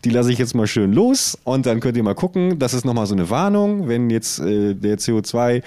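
Guy podcast host just chatting, rambly and ironic, mid pitch, really dry sound. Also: very animated, normal speed, mellow, no echo. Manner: rambling, ironic absurd musing. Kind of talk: casual comedic chat.

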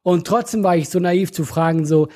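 A husky man with a Swiss German accent, his voice sitting a little high for a man. He chats casually, telling animated stories and laughing often. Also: a little fast. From Switzerland. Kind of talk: casual chat.

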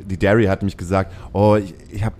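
A man's voice: low voice, level tone, a little fast, darkish tone. A man hosting a podcast, casually chatting with and teasing his guest. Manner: playful, teasing. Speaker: podcast host. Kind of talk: casual interview chat.

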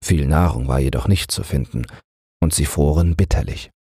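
A man narrating a fairy tale as a bedtime audiobook in a measured, soothing manner. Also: a bass voice, creaky, mellow, breathy edge, no room sound, studio quality.

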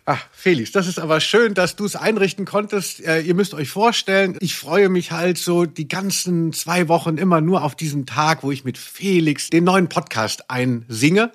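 A man with a husky voice, hosting a podcast in a playful, tongue-in-cheek, mock-sentimental way. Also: a little high, moderate pace, few pauses. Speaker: podcast host. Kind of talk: playful scripted intro and casual banter.

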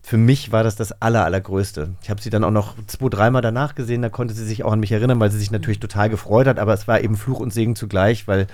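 A man in his early forties chats as a podcast host and speaks in a fairly low voice. He tells a memory slowly and thoughtfully, pausing on fillers. The sound is dry and close, as in a studio. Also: fairly even intonation, a little mellow, no room sound. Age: early 40s. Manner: reflective, unhurried storytelling with frequent fillers. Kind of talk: casual conversation.

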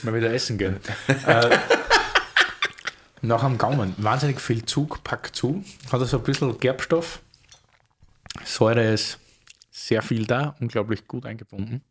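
Austrian man with a medium-pitched voice. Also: measured pace, pauses often, noisy background. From Styria, Austria. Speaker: podcast co-host. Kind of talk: casual chat with short remarks.

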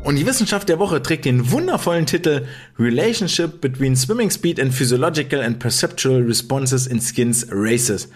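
A man's voice: mid-range voice, lively intonation, moderate pace, slight background noise. A man hosting a swimming podcast in casual talk, chatty with many fillers and restarts. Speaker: podcast host.

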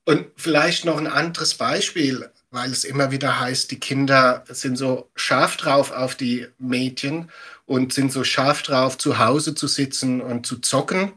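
A man's voice: mid-range voice, steady tone, a little slow, bright, forceful tone, slightly rough. A middle-aged man giving conversational, emphatic answers as an expert guest in an interview, explaining things with everyday examples. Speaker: media education expert guest.

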